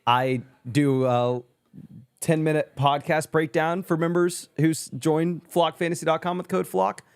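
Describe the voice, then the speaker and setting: A man's voice: fairly high pitch, fairly even intonation, measured pace, clean tone. Upbeat male host reading chat. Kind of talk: casual chat.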